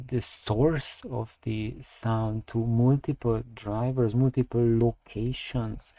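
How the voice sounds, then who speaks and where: A man giving unscripted technical opinion and advice as a channel host, speaking haltingly and often repeating words as he goes. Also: medium pitch, vocal fry, mellow, no room sound. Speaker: audio review channel host.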